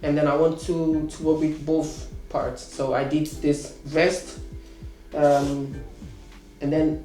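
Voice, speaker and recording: A man in his thirties, a course student, answering interview questions with many pauses and restarts. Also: fairly high pitch, little pitch movement, mellow, echoey room, soft background music, rough recording. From Portugal. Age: 30s. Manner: hesitant, many fillers and restarts.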